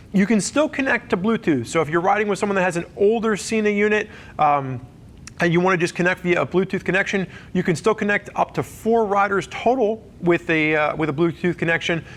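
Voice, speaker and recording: High-pitched man presenting a product review. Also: fairly bright tone. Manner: conversational, explanatory. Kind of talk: semi-scripted product review to camera.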